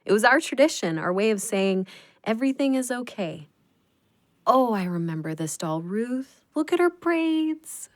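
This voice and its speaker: A woman playing a tender, grieving younger sister in an intimate audio drama, speaking in a gentle, wistful way. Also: fairly high pitch, big pitch swings, measured pace.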